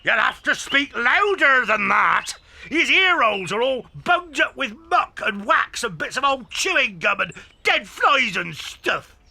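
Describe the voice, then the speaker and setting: Grumbling glutton farmer for children's audio story: slow, creaky, complaining. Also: male voice, very high voice, sing-song, bright, forceful tone, exaggerated voice. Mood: grumbling, blaming. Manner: whining, complaining. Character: greedy, grumbling male farmer, always hungry. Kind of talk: dramatized children's audiobook acting.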